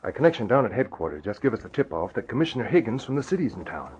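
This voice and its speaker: Animated fast-talking gangster henchman. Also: lowish voice. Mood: hurried, eager. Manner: slangy thug talk. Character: eager, jumpy male gangster henchman. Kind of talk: old radio drama acting. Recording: dull, faintly noisy old radio.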